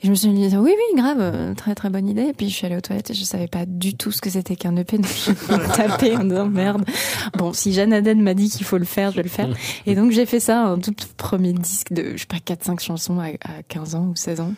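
Hesitant young woman, mellow dry voice. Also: medium pitch, brisk, husky, dry recording. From Paris region. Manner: hesitant, many fillers and restarts. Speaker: singer-songwriter guest. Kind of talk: radio interview.

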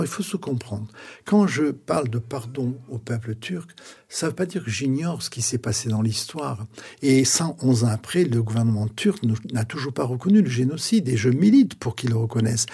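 A man's voice: medium pitch, warm tone, breathy edge. An older man, a pastor, telling his story in a radio interview in an earnest, reflective way. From France. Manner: earnest, reflective, preacherly pauses.

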